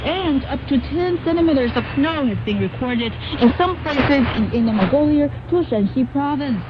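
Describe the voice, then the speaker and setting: Female news reporter, high register, scripted read, dull shortwave reception with clear static. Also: expressive, normal speed. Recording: noisy, muffled shortwave radio reception.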